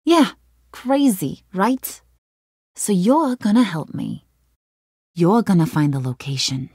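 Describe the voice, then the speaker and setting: Video game villainess, young gang boss, slow drawn-out delivery, swooping pitch, slangy taunts, playful menace. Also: female voice, big pitch swings, mellow, breathy edge, dry recording, clean studio sound, exaggerated voice. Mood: scheming. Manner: taunting, slangy, theatrical, swinging from sweet to threatening.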